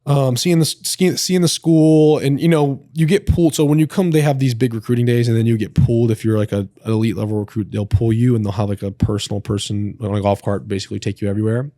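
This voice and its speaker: A young man in a podcast chat, telling a long story in a rambling, animated way with lots of filler words. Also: medium pitch, fast, few pauses, dry recording, studio quality. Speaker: podcast guest. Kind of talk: casual storytelling.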